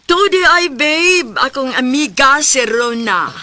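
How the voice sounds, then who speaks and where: A woman's voice: sits high, lively intonation, takes it slowly, sharp tone, full character voice. An actress with a Visayan Filipino accent playing a chatty, sociable young woman in a radio drama. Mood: chatty, friendly.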